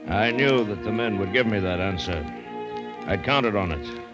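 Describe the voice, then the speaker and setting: An actor playing a stubborn, self-assured plantation owner with emphatic delivery, in an old-time radio adventure drama. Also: male voice, lowish voice, lively intonation, normal speed, lo-fi sound, close to an everyday voice. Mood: calm, assured. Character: supremely confident, smug, stubborn male plantation owner. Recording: vintage radio recording with music.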